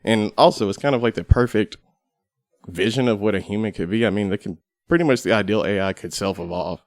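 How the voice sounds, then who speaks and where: A man with a fairly low voice takes part in a podcast panel. He talks casually and reflectively, and the sound is dry and free of room echo. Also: fairly quick.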